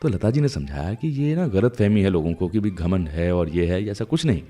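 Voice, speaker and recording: A man's voice: low-pitched, lively intonation, a little fast, dark tone. A man hosting a music radio show, telling anecdotes conversationally and speaking directly to his listeners. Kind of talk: chatty music radio storytelling.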